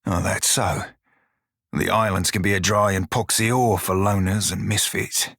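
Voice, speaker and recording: An actor playing a gruff, wry, loyal blue-skinned pirate shiphunter in a video game, with a husky voice and archaic, swaggering nautical dialect. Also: male voice, low-pitched, fairly even intonation, moderate pace, fairly bright tone, no room sound, clean studio sound. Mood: frank, prickly. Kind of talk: video game character voice lines.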